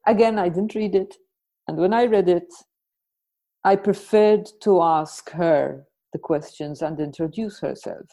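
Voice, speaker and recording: A woman hosting a podcast, introducing and questioning in a conversational, reflective way with deliberate pauses. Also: fairly low pitch, warm tone, low-quality recording. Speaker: podcast host.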